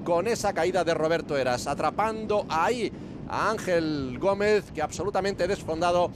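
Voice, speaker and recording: Male sports commentator, Spain accent, high voice, race highlights read over clear vehicle and outdoor noise. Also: moderate pace. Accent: Spanish (Spain).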